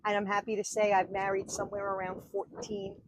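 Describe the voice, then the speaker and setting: A woman's voice: mid-range voice, completely flat delivery, brisk, noisy background. A woman in her sixties with a New York accent, telling her story as a wedding officiant on a podcast, chatty and full of fillers. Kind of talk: podcast interview.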